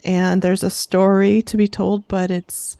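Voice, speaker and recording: A woman with a creaky voice hosting a casual coaching chat, affirming and reflecting back what she hears. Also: mid-range voice, level tone, measured pace, dark tone. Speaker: host and creativity coach.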